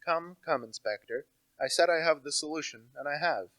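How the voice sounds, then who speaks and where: A man's voice: on the high side, normal speed, sharp tone, no echo. A young man acting as an insistent, self-assured amateur detective in an amateur radio play. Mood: smug. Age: teenager to young adult. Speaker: actor.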